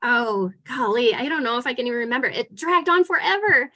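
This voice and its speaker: A woman's voice: on the high side, expressive, fairly quick, sharp tone. A woman who writes children's books, answering questions casually in a chatty, emphatic way. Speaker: children's author and illustrator.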